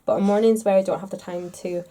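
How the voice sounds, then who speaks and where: A woman's voice: mid-range voice, steady tone, quick pace, noisy background. A woman vlogger talking casually to the camera in a chatty, rambling, self-deprecating way, a little congested with a stuffed-up nose. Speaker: makeup vlogger.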